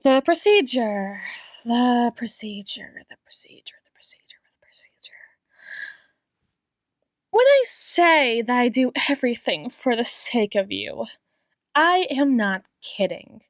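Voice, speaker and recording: A high, close and dry female voice, acted by a woman as a yandere doctor in an intimate audio roleplay. She speaks slowly and tenderly, slipping into whispers and repeating herself, with devotion that carries a sweet menace. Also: big pitch swings, no room sound. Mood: obsessive, intense. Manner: breathy whispers, lingering pauses, repeats phrases tenderly. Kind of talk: ASMR roleplay, dramatic monologue addressed to listener.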